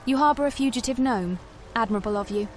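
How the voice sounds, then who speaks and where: A woman playing a curious, direct adventurer in a fantasy video game, with a brisk, inquisitive manner. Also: fairly high pitch, soft background music, lightly acted.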